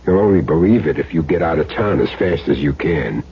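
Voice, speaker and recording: An actor playing a calm, hard-boiled hit man with terse, sardonic delivery in an old radio crime drama. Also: rumbling low, fairly quick, husky, full character voice. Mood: cool, instructing. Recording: noisy muffled old radio recording.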